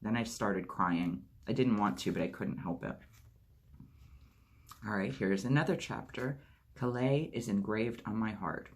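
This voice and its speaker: A man reading a memoir aloud as a storytime host, steady narration with lightly acted dialogue. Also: on the low side, unhurried. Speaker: audiobook reader.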